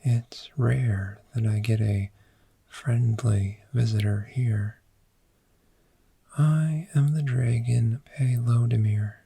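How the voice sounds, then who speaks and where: Man voicing a friendly cave dragon, breathy whisper, creaky, soothing ASMR roleplay, close dry sound. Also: medium pitch, steady tone, dark tone, no echo, heavily acted. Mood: gentle, curious. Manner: slow, soothing, unhurried with long pauses. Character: friendly, gentle cave dragon fond of sleep. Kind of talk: soft-spoken ASMR roleplay.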